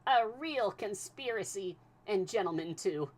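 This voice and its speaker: Excitable young French valet, pitched high with a changed timbre, loud and lively, done by a male reader. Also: normal speed. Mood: indignant.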